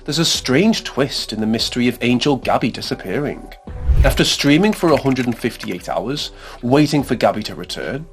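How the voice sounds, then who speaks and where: A man reading a scripted explainer narration in a measured, formal way. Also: mid-range voice, expressive. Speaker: narrator of video essays. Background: background music under voice-over.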